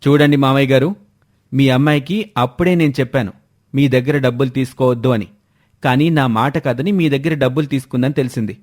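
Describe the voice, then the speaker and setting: Cartoon family drama: proud, unyielding son-in-law male performer, medium pitch, indignant and stern. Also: fairly quick, darkish tone, natural voice. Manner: firm, indignant. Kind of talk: animated moral-story character voice.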